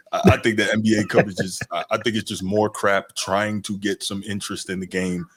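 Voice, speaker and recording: A man with a low, deep voice, co-hosting a sports podcast. He speaks in easygoing casual chat, gracious and often trailing off softly at the ends of thoughts. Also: level tone, moderate pace, husky. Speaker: podcast co-host.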